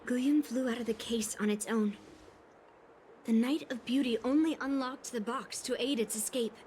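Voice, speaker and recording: A woman's voice: on the high side, normal speed, a little mellow, slight background noise, lightly acted. A woman voicing a headstrong, blunt young swordswoman in a video game, insistent and stern. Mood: confident, matter-of-fact.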